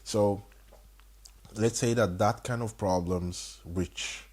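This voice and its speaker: A very low, husky male voice with an Italian accent. He is a podcast co-host in his thirties. He talks frankly and reflectively, with frequent fillers and restarts. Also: fairly even intonation, slow pace, darkish tone. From Italy. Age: 30s. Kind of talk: casual conversation.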